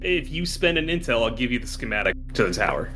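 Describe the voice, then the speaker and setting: A man's voice: medium pitch, big pitch swings, fast talker, pushed and bright. A man running a tabletop game as game master in casual, joking, sweary banter.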